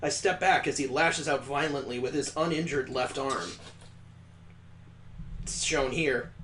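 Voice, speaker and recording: A man's voice: mid-range voice, measured pace, fairly bright tone, slight background noise. A man on a stream reading a visual novel aloud, breaking off for crude, joking asides and mock sound effects.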